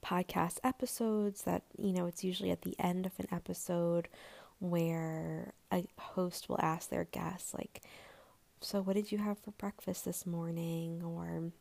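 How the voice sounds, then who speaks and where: A woman hosting her own podcast in a gentle, rambling chat, her voice a little raspy and hoarse, with plenty of fillers. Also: lowish voice, flat delivery, measured pace. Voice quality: raspy, breathy. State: slightly hoarse. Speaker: podcast host. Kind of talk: solo podcast intro, casual chat.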